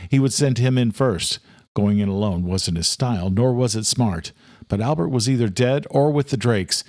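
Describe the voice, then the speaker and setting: Male narrator for horror thriller audiobook. Mid-pitched, scripted read, very dry recording. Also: no room sound.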